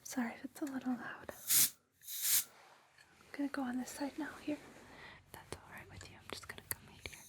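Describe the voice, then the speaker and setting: A woman whispering gently and reassuringly in an ASMR personal-attention roleplay, with a breathy, soothing delivery. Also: high-pitched, flat delivery, normal speed, long pauses. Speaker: ASMR host playing a space suit fitting attendant.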